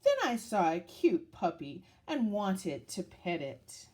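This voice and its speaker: A woman reading a children's picture book aloud in a dramatic, deliberate storytelling manner, as if to young listeners. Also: medium pitch, big pitch swings, a little mellow.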